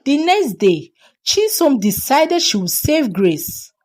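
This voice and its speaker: Slow, very animated woman storyteller. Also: high-pitched. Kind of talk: expressive folktale narration.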